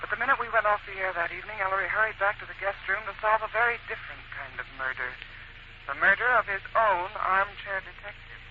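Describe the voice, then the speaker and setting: An actress playing a detective's eager young secretary and sidekick in theatrical 1940s radio style. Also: female voice, mid-range voice, fast, close to an everyday voice. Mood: sober narration. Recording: muffled, very noisy 1940s radio recording.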